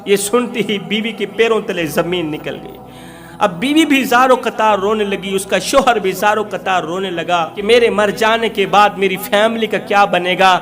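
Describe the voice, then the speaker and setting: A man's voice: sits high, moderate pace, fairly bright tone. A man preaching an emotional Islamic moral story, dramatically, with emphatic repetition.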